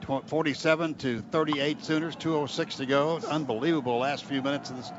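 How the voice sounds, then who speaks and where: A man's voice: on the high side, fairly even intonation, a little fast. An American man calling football play-by-play on the radio, with clipped phrases and folksy exclamations. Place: stadium radio booth. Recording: muffled radio broadcast, loud stadium noise. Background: loud crowd and stadium ambience.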